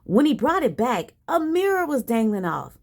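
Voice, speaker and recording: Very animated woman reading a storybook. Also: on the high side, normal speed. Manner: expressive, dramatic emphasis. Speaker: storybook reader. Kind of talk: animated children's read-aloud.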